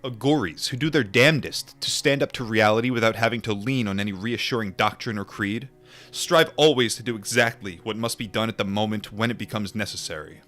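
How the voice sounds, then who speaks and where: A man reading a book aloud and adding informal asides, in a measured, deliberate manner. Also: fairly low pitch, fairly bright tone, faint music behind. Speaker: audiobook reader and commentator.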